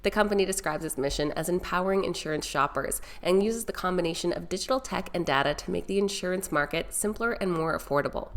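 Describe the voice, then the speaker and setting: Young female market-video host, low-ish and nasal with sinus congestion, upbeat scripted delivery to camera. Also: fast, hardly any pauses. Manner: upbeat, direct address to viewers. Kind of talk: scripted market news presenting.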